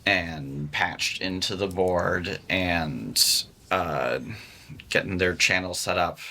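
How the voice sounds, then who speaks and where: A man, a music engineer guest, talking casually in an interview, pausing often with fillers. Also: deep voice. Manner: hesitant, many fillers.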